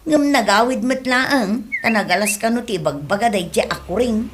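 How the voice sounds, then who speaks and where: A woman's voice: fairly high pitch, moderate pace, a little hiss or hum, natural voice. A woman acting a gossipy village neighbour in an Ilocano comedy radio drama, chattily passing on news. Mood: gossipy, concerned.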